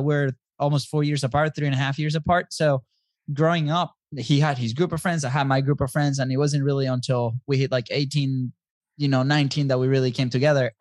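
A relaxed man in his thirties, originally from Venezuela, talking easily as a podcast guest. He laughs often and leans on little fillers. The sound is close and dry, with no room around it. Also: mid-range voice, dry recording. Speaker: guest, business co-founder. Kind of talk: casual interview conversation.

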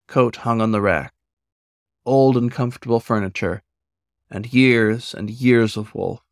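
A man's voice: medium pitch, no room sound. Man narrating a story, dry sound. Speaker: author reading own story.

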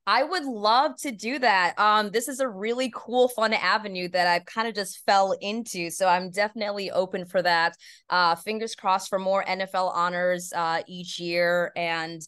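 Casting: female podcast guest, slightly low register, clean resonant tone, conversational interview delivery. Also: fairly bright tone, smooth. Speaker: podcast guest, voiceover artist and PA announcer.

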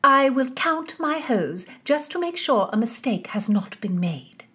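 A woman's voice: sits high, big pitch swings, measured pace, bright, forceful tone, slightly rough, pitched above the performer's own voice, full character voice. A woman voicing a snobbish young noblewoman with a haughty, clipped manner in an audiobook.

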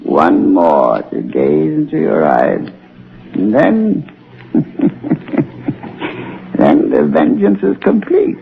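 An actor playing a crazed, vengeful and servile old watchman in a 1930s radio thriller, halting and given to cackling. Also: low-pitched, huge pitch swings, vocal fry, heavily acted. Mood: gloating, sinister. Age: older. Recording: muffled 1930s radio recording.

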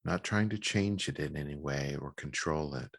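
A man leading a guided meditation in a calm, gentle, measured manner with long pauses. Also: extremely low pitch, no room sound, studio quality.

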